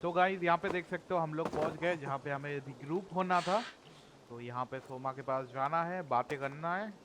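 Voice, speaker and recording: Indian guy doing casual gameplay commentary, talks super fast, slightly high voice, game noise behind. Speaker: gamer, video host.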